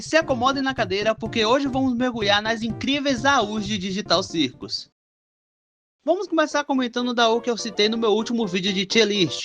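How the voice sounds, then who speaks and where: Animated Brazilian narrator over music. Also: medium pitch. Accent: Brazilian Portuguese. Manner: expressive, conversational, addresses the audience. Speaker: video presenter. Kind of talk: fan commentary voice-over, scripted narration.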